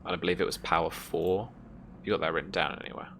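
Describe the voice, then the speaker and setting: Deep, gravelly-voiced man game master. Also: steady tone, fast, fairly bright tone. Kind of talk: narrating and refereeing a tabletop roleplaying game. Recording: noticeable background noise.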